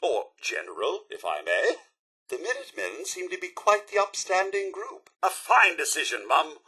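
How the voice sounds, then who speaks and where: A fussy British robot butler from a video game. The voice is high and bright, with theatrical swoops in pitch. The speech is formal, devoted and a touch anxious, like a proper household servant. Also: male voice, very animated, moderate pace, husky, exaggerated voice. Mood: approving. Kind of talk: video game character dialogue.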